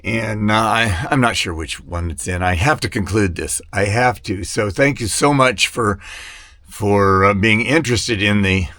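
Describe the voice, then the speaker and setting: Guy with kinda low voice rambling casually about his hobby, halting, keeps losing his thread. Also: pushed and bright, no echo. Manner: hesitant, halting, wandering off mid-thought. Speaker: vintage radio hobbyist vlogger. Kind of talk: rambling casual product chat to camera.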